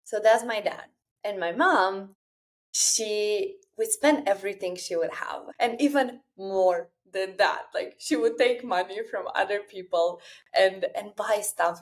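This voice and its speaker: Romanian woman in her 30s, solo podcast host, confiding and earnest, mid-pitched voice. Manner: earnest, confiding, speaking directly to listeners. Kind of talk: solo personal podcast monologue.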